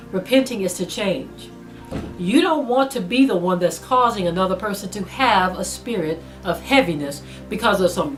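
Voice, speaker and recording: A woman preaching a sermon to an online audience, earnest and exhorting, slowing down to stress her points. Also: medium pitch. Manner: earnest, exhorting, slow and emphatic with rising intensity. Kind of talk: spoken sermon to a video audience.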